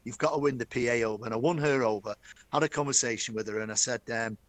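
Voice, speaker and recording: A man's voice: mid-range voice, fast talker, dry recording. Chatty middle-aged Mancunian man. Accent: Manchester. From Manchester, England. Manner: chatty, enthusiastic, addresses host by name. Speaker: guest, stadium announcer. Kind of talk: storytelling interview.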